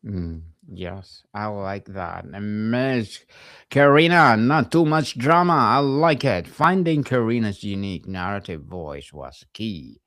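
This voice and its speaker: A low-pitched man hosts a podcast interview, reading his questions at an unhurried, deliberate pace. The sound is dry and close, with no room echo. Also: expressive, a bit bright. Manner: slow, deliberate, reads prepared questions. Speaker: podcast host.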